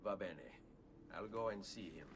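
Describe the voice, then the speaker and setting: An actor voicing a composed young male assassin, calm and measured. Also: low-pitched, natural voice. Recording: game audio with ambient noise underneath.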